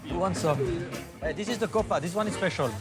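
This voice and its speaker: A middle-aged man, gentle and mild-mannered. He talks eagerly about food, and music plays behind him. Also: high-pitched, fast. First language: French. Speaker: travel companion chef.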